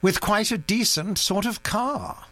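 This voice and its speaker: Thoughtful elderly gentleman played by an audiobook reader; mild and earnest, slow and animated. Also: mid-range voice, slightly rough, pitched above the performer's own voice, exaggerated voice. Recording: dry recording with mains hum.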